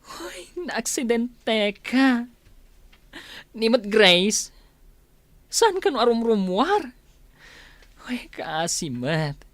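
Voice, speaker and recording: High-voiced, fretting Ilocano woman played by a radio actress. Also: sing-song, very slow, pauses often, dry recording, full character voice. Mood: distressed. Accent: Filipino, Ilocano. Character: worried, fretting young woman, a gossipy loyal friend. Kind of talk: radio drama acting.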